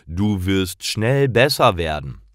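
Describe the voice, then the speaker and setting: A man narrating and voicing Karl, a friendly young man who encourages his friend. The delivery is slow and carefully articulated with lively intonation. The sound is close and dry. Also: deep voice, no room sound, heavily acted.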